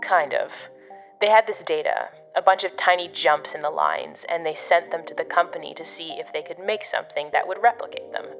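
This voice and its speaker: A woman's voice: lowish voice, brisk, narrow, tinny audio, faint music behind. A woman playing a jaded agency operative in an audio drama, dry, sarcastic and casual, heard through a radio filter. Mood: explaining. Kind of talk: audio fiction podcast acting.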